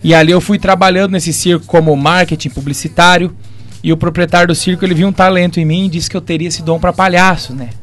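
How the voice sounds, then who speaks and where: Male radio guest, early thirties, southern Brazilian accent, notably high voice, chatty delivery. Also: fairly even intonation, fast, fairly bright tone. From Rio Grande do Sul, southern Brazil. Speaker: guest, circus clown and show producer. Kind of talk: casual radio interview. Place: radio studio.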